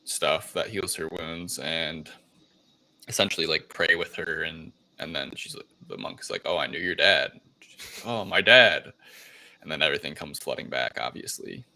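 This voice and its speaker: A man hosting a casual film discussion, recapping the plot in a loose, halting way with plenty of filler phrasing. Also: low-pitched, bright, forceful tone, poor audio. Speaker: podcast host recapping a film.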